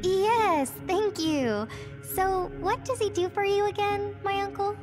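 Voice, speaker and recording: A woman's voice: very high voice, slow. A woman playing a young female squad member in an English video game dub, with music underneath. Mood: polite, unsure.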